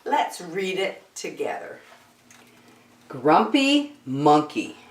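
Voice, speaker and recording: A woman reading a picture book aloud to children as a storyteller, in an expressive style. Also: lowish voice, drawn-out words. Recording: roomy, echoey sound.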